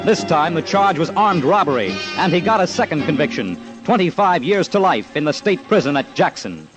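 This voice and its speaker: A man's voice: on the high side, big pitch swings, moderate pace, poor audio. A man narrating an old-time radio crime documentary in a dramatic, punchy, emphatic scripted style. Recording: old radio with loud music.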